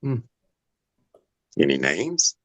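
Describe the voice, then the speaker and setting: Guy acting nosy man playing it casual, deep slow drawn out talk, pitch moves a lot. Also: huge pitch swings, exaggerated voice. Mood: casually prying. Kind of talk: table read of a screenplay.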